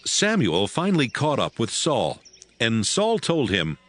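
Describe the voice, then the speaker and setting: A man's voice: mid-range voice, wildly swooping pitch, measured pace, a bit bright. A man narrating scripture in a scripted, dramatized audio Bible style.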